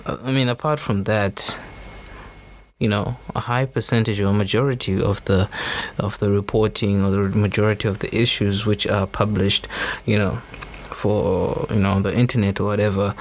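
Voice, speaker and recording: Guy, young, kinda low gravelly voice, rambly podcast rant w lots of "you know". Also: steady tone, normal speed, a little hiss or hum. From Zimbabwe. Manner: earnest, rambling, many "you know" fillers. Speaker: podcast host. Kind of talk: unscripted opinion monologue.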